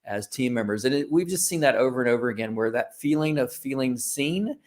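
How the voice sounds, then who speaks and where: A man's voice: medium pitch, lively intonation, brisk, a little mellow. A man speaking as an interview guest, giving informal, discursive answers and often rephrasing himself as he goes. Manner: informal, discursive, self-correcting. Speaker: guest, creative company founder.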